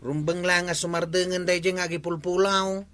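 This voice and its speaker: An actor playing an indignant, blustering village watch chief in a comedic radio drama. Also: male voice, sits high, steady tone, fairly quick, bright, forceful tone, dry recording. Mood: vengeful.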